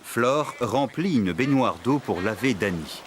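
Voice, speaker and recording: A man's voice: mid-range voice, lively intonation, measured pace, close to an everyday voice. A man narrating a children's cartoon story from a script. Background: prominent music.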